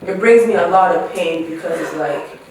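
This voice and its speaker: Young man from Canada, earnest and run-on, slightly higher voice, distant in a very echoey room. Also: normal speed. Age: 20s. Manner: earnest, run-on "it's like" phrasing. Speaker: podcast guest. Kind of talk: casual group discussion. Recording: echoey room, distant mic, faint noise.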